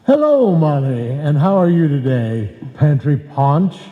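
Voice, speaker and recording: Man playing a high, droll radio comedy doctor. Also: big pitch swings, a little slow. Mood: jovial needling. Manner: grandiose, dry irony. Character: droll, sarcastic family doctor trading insults. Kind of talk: old-time radio comedy acting. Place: live stage performance in a hall. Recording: muffled, very echoey live hall recording.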